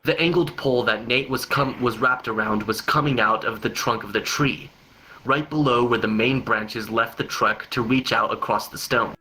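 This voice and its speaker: A man's voice: mid-range voice, moderate pace, sharp tone, faint music behind. A man reading a story aloud unrehearsed, often stumbling and repeating words. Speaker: reader of a long joke story.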